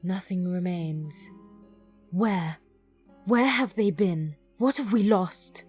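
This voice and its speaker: A woman playing a grave, guilt-laden ancient stone mage in an audio drama, breathy and solemn in short, slow phrases. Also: fairly low pitch, darkish tone, soft background music. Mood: shaken.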